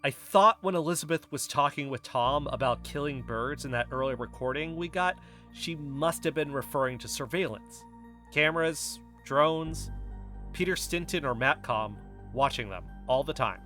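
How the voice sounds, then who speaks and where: A man's voice: on the high side, normal speed, pushed and bright, faint music behind. A man playing a paranoid, agitated investigative podcaster in an audio drama, speaking with urgent insistence. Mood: intense, paranoid. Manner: urgent, insistent, dwelling on suspicions. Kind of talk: audio fiction podcast dialogue.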